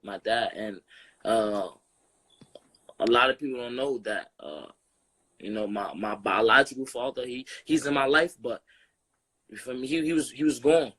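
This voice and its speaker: A man's voice: medium pitch, normal speed, pauses often, sharp tone, poor audio. A young man with a New York accent, a boxer talking casually in an interview, laid-back and often adding "you feel me?". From Brooklyn, New York.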